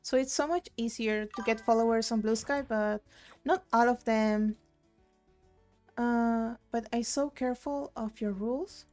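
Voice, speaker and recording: Young woman, from Argentina, casual streamer, rambling chat, slightly high voice, soft game music behind. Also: measured pace. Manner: chatty, reads chat aloud, rambling with fillers. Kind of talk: casual live-stream chat while gaming.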